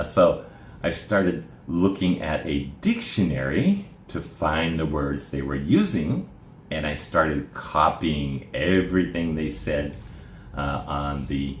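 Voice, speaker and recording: A middle-aged man from Japan, a native Japanese speaker, giving an informal spoken presentation in a measured, plain way with frequent "uh" pauses. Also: a bass voice. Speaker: presenter telling his life story. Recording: roomy echo, faint background hiss.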